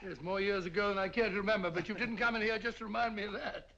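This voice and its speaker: A man's voice: sits high, fairly even intonation, rapid-fire, pushed and bright. An actor with a British accent playing a bluff, jovial male doctor, talking in hearty, chuckling banter. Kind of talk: 1940s film acting. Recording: dull, noisy old film soundtrack.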